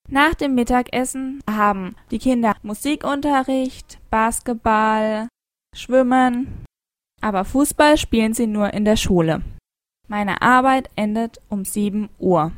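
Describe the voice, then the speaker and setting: Young woman au pair, clear even read, slightly high voice, dry close mic. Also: no room sound. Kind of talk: scripted monologue for a listening exercise.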